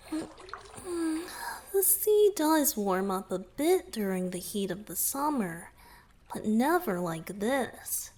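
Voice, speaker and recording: A woman voicing a gentle, playful young merman boyfriend in a romantic ASMR roleplay, soft and breathy, often near a whisper, tender and softly teasing. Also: high voice, exaggerated voice. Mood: contented.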